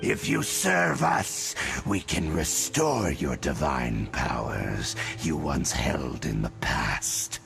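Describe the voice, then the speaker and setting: A man playing a haughty, menacing herald of the abyss in a fantasy game, gravelly, pompous and sneering. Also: rumbling low, sing-song, slow pace, few pauses, over music, full character voice. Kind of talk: fantasy game villain acting.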